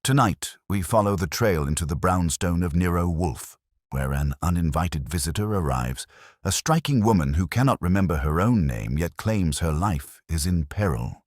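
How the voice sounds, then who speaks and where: Very deep, dramatic male storyteller. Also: animated, moderate pace, no echo, clean studio sound. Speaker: narrator and host of a mystery story channel. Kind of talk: scripted detective story narration.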